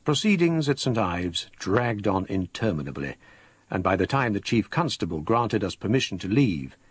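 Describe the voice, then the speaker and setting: An actor playing a scholarly English gentleman, recounting his own ghost story in a measured, literary manner for a radio drama. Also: lowish voice, big pitch swings, breathy edge, no room sound. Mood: grave, haunted. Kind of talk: radio drama first-person narration.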